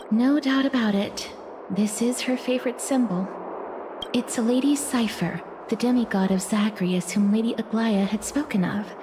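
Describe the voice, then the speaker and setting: A woman voicing a gentle, melancholy young woman in a video game, with soft, breathy, solemn and formal delivery. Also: on the high side, noisy background. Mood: intent, explaining. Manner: measured, solemn, formal phrasing. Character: gentle, melancholy young woman resigned to fate, touched by death. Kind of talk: English video game voice acting, dramatic monologue and dialogue. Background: game music.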